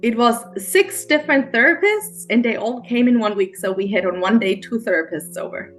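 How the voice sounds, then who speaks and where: A middle-aged woman with a German accent, a mindset coach, telling her story as an interview guest in a halting, earnest way with many restarts. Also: on the high side, soft background music. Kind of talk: emotional personal storytelling interview.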